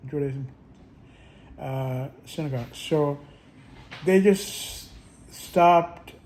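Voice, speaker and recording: A man vlogging in a casual, rambling monologue, halting often with restarts and little chuckles. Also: a little high, darkish tone, a little hiss or hum, poor audio.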